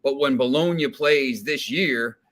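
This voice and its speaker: A man from New Jersey chats loosely on a betting show, his voice bright and forward. He circles back on himself, restarting sentences and stacking fillers as he talks. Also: medium pitch, measured pace. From New Jersey, USA. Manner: rambling, many restarts and fillers. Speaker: sports betting analyst guest. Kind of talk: casual podcast chat.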